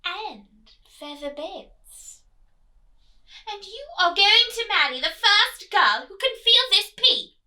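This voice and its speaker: A woman playing an imperious, scolding storybook queen, high-pitched. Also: very animated, slow, sharp tone, heavily acted. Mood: commanding. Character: nagging, commanding queen, mother of a grown prince. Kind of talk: children's storybook read-aloud.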